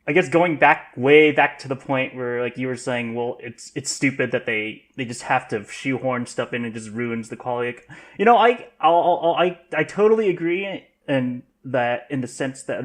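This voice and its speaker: A man chatting casually as a podcast panelist, rambling and halting as he repeats himself. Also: medium pitch. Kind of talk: casual chat.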